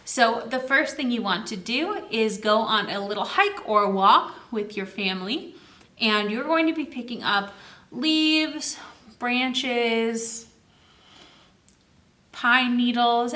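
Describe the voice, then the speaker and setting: Female children's storyteller, fairly high and slow-paced, sing-song and exclaiming, recorded with noticeable room echo. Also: lively intonation, pushed and bright. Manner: sing-song, exclaiming, asks questions and answers them. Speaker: children's librarian hosting story time. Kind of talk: read-aloud and explaining to young children.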